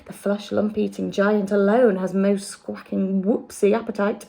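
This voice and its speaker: A woman's voice: mid-range voice, flat delivery, unhurried, creaky, warm tone. A woman voicing a big, kindly male giant who talks in mangled grammar and made-up words. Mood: earnest. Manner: ungrammatical, invented words.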